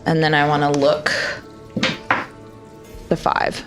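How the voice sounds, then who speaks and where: A woman's voice: deep voice, monotone, slow pace, over music. A woman playing a tabletop roleplaying game, casually describing her character's actions and speaking in character.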